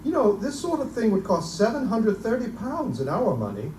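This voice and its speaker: A man playing an eccentric, erudite Victorian gentleman, pompous and musing, in a live reading of gothic horror. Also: high voice, wildly swooping pitch, normal speed. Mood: musing, fascinated. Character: eccentric, erudite Victorian Oxford gentleman obsessed with Egyptian relics. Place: live performance in a bookstore. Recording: echoey, distant live recording, faint noise.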